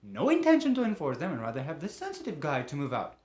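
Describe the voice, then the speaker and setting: A man performing a dramatic monologue as a lonely, embittered father, emotive and moving between hushed and agitated. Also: mid-range voice, lively intonation, moderate pace, lo-fi sound, natural voice. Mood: agitated, indignant. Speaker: monologue actor. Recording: roomy, echoing room.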